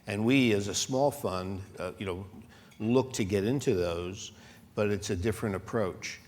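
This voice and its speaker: A man who is a venture investor, speaking on a conference panel in a measured, steady way. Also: lowish voice. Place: conference hall. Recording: reverberant hall with faint noise.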